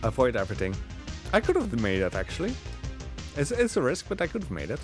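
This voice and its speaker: A man's voice: mid-range voice, wildly swooping pitch, fast, over music. A man giving casual live commentary on his own speedrun, rambling with hesitant asides as he plays. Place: live gaming marathon event, game audio behind.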